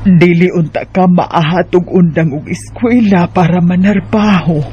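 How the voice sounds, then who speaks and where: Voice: mid-range voice, fairly even intonation, unhurried, warm tone, dull audio, a little hiss or hum, lightly acted. An actor playing an apologetic, regretful elderly grandparent in a radio drama.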